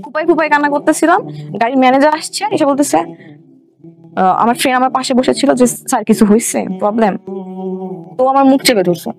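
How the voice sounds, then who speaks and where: A woman's voice: high voice, fairly quick, vocal fry, pauses often. A young woman with a Bangladeshi Bengali accent, telling her own story in a colloquial way as an interview guest, with English words mixed in. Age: young adult. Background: background music.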